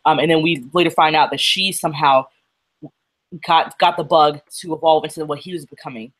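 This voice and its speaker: Woman co-host, halting and unwell. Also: sits low, steady tone, fairly bright tone. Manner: halting, with fillers and restarts. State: feeling unwell. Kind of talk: casual livestream chat.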